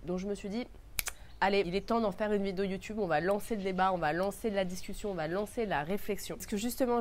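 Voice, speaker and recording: A young woman with a cold talks to her viewers, her nose clearly stuffed up. She thinks out loud in an earnest way and stacks up words one after another. A faint hiss of background noise sits under her voice. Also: mid-range voice. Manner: thoughtful, earnest, lists words in a row. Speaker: beauty vlogger. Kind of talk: casual reflective talk to camera.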